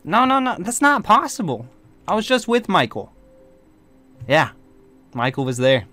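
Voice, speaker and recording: A man's voice: mid-range voice, expressive, a little fast, a bit bright, natural voice. A man playing a sarcastic, vengeful warlock with a mocking, theatrical manner in an improvised video game roleplay. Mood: gleeful, incredulous. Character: sarcastic, vengeful male warlock leading a coven. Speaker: roleplay actor. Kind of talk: improvised Minecraft supernatural roleplay. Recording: music behind the voice.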